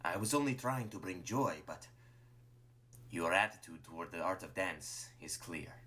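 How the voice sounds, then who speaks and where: Man voicing a bright, flamboyant dancing monster. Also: sits low, normal speed. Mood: dejected. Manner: grandiose, showy exclamations. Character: flamboyant theatrical dancing monster, dramatic challenger.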